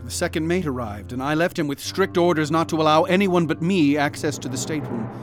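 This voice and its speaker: Radio drama doctor, played by a man: fairly high voice, formal, earnest, haunted, music underneath. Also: a little fast, natural voice. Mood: grave, recounting. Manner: formal, old-fashioned, earnest. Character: earnest, haunted ship's doctor recounting a horror. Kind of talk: radio drama acting and narration.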